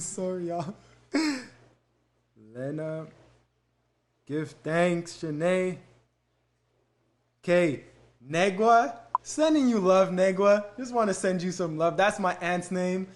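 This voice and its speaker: A man's voice: high-pitched, slow, long pauses. A young man hosting a casual live stream, answering viewer questions and thanking commenters in an easygoing way with frequent fillers. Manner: fillers and laughs between answers.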